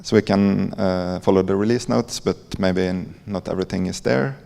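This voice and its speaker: A man with a Norwegian accent giving an informal conference presentation in a halting, hesitant way, with frequent pauses. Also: deep voice, fairly even intonation, vocal fry, dark tone, slight background noise. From Norway. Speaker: conference presenter, library coder. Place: conference hall. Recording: echoey hall with long reverb.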